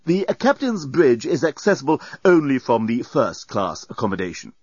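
A man's voice: medium pitch, big pitch swings, normal speed, husky, dry recording, muffled recording, pitched above the performer's own voice. A man voicing an officious, snobbish robot servant with a stiff, coldly formal, sniffy manner in a comic audiobook.

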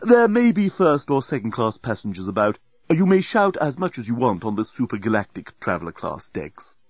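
A man's voice: mid-range voice, sing-song, a little fast, no echo, dull audio. Man playing a snooty, officious robot doorman. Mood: officious, cold. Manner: stiff, sniffy, coldly formal with hesitations. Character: officious, snobbish robot doorbot, like a haughty servant.

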